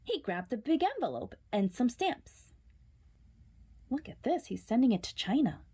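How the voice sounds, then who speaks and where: A woman reads a picture book aloud to children. Her voice is fairly high and very lively in pitch. She takes it slowly and dramatically, building suspense with hushed words. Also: breathy edge. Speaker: children's storyteller.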